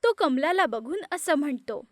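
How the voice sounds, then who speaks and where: A woman narrating an animated moral story in a steady, plain storytelling manner. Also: high-pitched, moderate pace, dry recording, clean studio sound.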